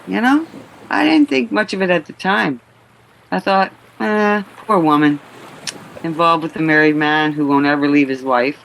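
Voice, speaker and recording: A woman's voice: low-pitched, moderate pace, creaky, a little hiss or hum, poor audio. A woman playing a gossipy, sardonic boat hostess in a radio play, chatty and conspiratorial.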